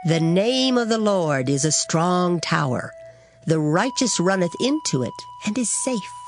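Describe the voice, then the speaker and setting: Lower-voiced expressive female scripture reader. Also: a little slow. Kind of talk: dramatized Bible audiobook reading. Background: plucked guitar music.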